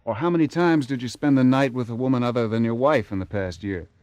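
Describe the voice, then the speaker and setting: A man's voice: mid-range voice, quick pace, darkish tone, dry recording, lightly acted. An American actor playing a sardonic, flirtatious theater man in a radio drama, wry and pointed. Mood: teasing. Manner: wry, pointed, smooth talker. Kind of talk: 1980s radio drama acting.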